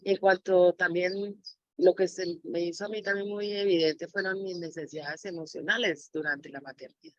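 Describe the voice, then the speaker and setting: A woman's voice: lowish voice, steady tone, normal speed, dry recording, lo-fi sound. A woman speaking reflectively as an interviewee, sharing personal experience as a mother. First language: Spanish.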